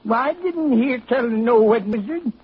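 An actor playing a nosy, needling rural village lounger in a folksy 1940s radio drama style. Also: male voice, very high voice, lively intonation, unhurried, slightly rough, heavily acted. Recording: muffled 1940s radio recording.

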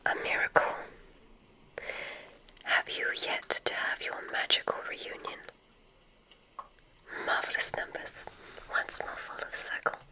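Whispering woman in witchy ASMR roleplay. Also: poor audio. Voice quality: breathy unvoiced whisper. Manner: gentle, drawn-out, effusively complimentary. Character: gracious, welcoming witch dean of a magic school.

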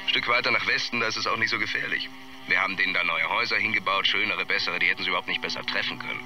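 An actor playing an arrogant, brusque film director in a radio drama interview, self-important and halting. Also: male voice, low voice, background noise. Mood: defensive, dismissive.

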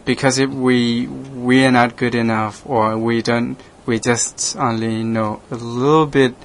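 Guy from laos talking slow and flat, lots of restarts, lao native, bit of hiss behind. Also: medium pitch, gravelly. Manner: halting, hesitant, frequent restarts, laughs often. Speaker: radio program host, monk. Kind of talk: casual conversation in English.

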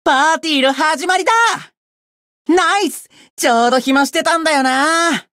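A woman voicing a cocky, bratty young demon who boasts pompously in rough slang, in a Japanese game character style. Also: sits high, normal speed, fairly bright tone, dry recording, studio quality. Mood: cocky, irritated.

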